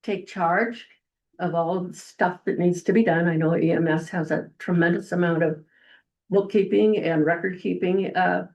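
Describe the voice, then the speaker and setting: Loud, rambling older woman. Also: fairly low pitch, fairly even intonation, normal speed, creaky, muffled recording. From Eldora, Iowa. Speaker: resident giving public comment. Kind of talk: public comment at a county meeting.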